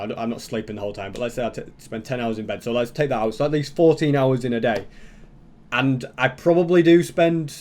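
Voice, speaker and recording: A man's voice: medium pitch, darkish tone. A man giving casual reaction commentary as a YouTube host, rambling and thinking aloud as he goes.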